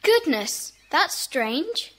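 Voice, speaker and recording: A woman's voice: sits high, big pitch swings, very slow, fairly bright tone, full character voice. A woman playing an eager, emphatic schoolchild in a children's audio drama. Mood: curious. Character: eager, indignant, kind schoolchild.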